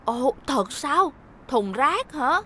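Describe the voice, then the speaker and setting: High-voiced, caring cartoon helper. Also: female voice, sing-song, drawn-out words, exaggerated voice. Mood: concerned. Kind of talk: animated children's cartoon dub. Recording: loud music behind.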